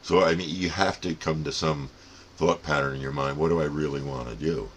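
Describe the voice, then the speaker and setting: A man's voice: extremely low pitch, steady tone, fairly quick, a bit bright, a little hiss or hum. An older man giving a casual, rambling, folksy explanation as a plain-spoken hobbyist host. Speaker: radio hobbyist and channel host.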